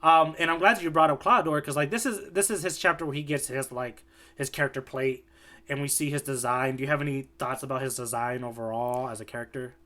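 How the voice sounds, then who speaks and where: A man's voice: medium pitch, steady tone, fast talker, sharp tone. A man hosting a casual podcast who jokes and talks loosely, using lots of fillers. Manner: joking, loose and filler-heavy. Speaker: podcast host. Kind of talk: casual chat.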